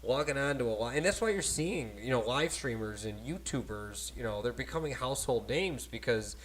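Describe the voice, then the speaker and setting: A man hosting a casual podcast on a cheap desk microphone, talking in animated, rambling bursts with frequent laughter. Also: mid-range voice, fairly quick, a little hiss or hum, poor audio. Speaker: podcast host. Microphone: cheap desk microphone at a laptop.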